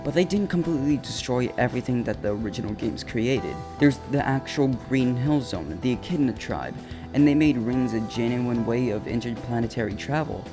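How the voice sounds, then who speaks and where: A man's voice: medium pitch, moderate pace. A man delivering casual, scripted comedic commentary for a video essay, with a flippant, slangy, jokey manner. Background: upbeat video game music.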